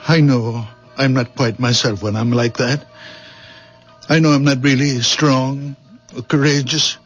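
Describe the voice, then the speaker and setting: A man acting in a radio drama as a gentle, troubled old village healer, speaking haltingly, pleading and repeating himself. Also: medium pitch, fairly even intonation, soft background music, close to an everyday voice. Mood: sorrowful, fervent. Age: older. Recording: dull, muffled old radio recording.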